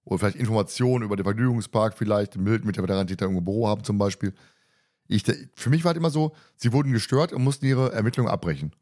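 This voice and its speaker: A man's voice: lowish voice, racing, darkish tone. Casual man, colloquial podcast chat. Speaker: podcast co-host. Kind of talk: casual discussion of an audio drama.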